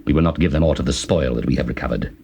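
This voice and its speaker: Give this the bottom of the male range, thick with creak. It is a wicked, selfish soldier in a biblical audio drama. The recording is an old cassette with light hiss. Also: racing, a little mellow. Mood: grudging, defiant. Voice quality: very deep, gravelly. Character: wicked, selfish man among David's soldiers. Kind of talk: dramatized scripture acting.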